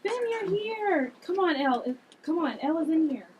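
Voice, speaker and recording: A woman's voice: very high voice, lively intonation, moderate pace. A woman reading the part of an eager, chatty young girl cousin in a family drama table read. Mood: excited. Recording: very noisy, distant table-read room.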